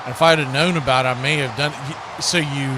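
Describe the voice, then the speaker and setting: A man's voice: mid-range voice, steady tone, brisk, noisy background. An American man giving live football play-by-play with casual, folksy, conversational asides on a livestream. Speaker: play-by-play sports commentator.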